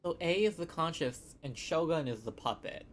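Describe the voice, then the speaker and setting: A woman with a low voice commentates casually as she streams a game. She is playful and reactive, and her pitch moves around in a lively way. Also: moderate pace, a little hiss or hum. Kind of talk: casual live game commentary, reading choices aloud.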